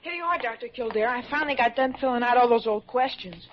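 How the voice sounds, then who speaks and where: Woman playing a high-voiced, folksy grandmother. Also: quick pace, lightly acted. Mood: chatty, relieved. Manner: folksy, colloquial. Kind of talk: 1940s radio acting. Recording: dull, noisy old radio recording.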